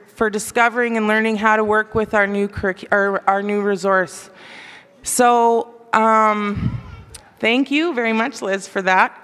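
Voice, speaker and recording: A woman's voice: fairly high pitch, smooth. A woman teacher giving a chatty introduction of the next speaker at a conference. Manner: warm, chatty, with fillers. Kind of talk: introduction speech. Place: large conference hall. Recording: long hall reverb.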